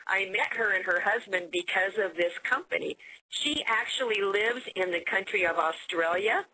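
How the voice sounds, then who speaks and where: An American woman hosting a business conference call, giving an upbeat sales pitch and often repeating words as she goes. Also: normal speed, bright, forceful tone, rough recording. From United States.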